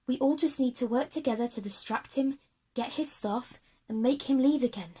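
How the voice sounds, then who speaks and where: A woman's voice: high-pitched, normal speed, dark tone, husky. A woman playing an earnest, reassuring, brave schoolgirl leader in a kids' audio drama. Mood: gentle, pleading. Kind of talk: audio drama acting for young audiences.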